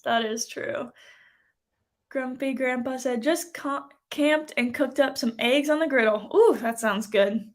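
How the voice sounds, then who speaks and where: A woman's voice: high-pitched, unhurried. A woman in her twenties hosting a casual live chat and reading out comments in a chatty, giggly way. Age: 20s.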